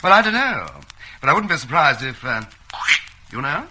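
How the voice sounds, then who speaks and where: Casting: eager, gullible loyalist dad, highish sharp voice, hearty radio drama delivery. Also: male voice, very animated, moderate pace, heavily acted. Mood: proud, chummy. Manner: hearty, chatty, bustling. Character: chummy, gullible, eager party man and proud father. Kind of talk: old radio drama acting.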